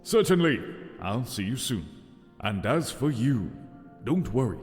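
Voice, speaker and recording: Man playing a gallant demigod warrior, earnest and courteous, animated mid-pitched voice, audio roleplay, big hall reverb. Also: measured pace. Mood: courteous, reassuring. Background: soft background music.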